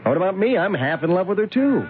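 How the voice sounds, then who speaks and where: A man's voice: high-pitched, very animated, fast, very noisy. An actor playing a wry, cheery, lovelorn man telling his tale in a radio drama. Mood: flustered. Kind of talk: radio drama acting and narration. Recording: tinny old radio, loud music behind.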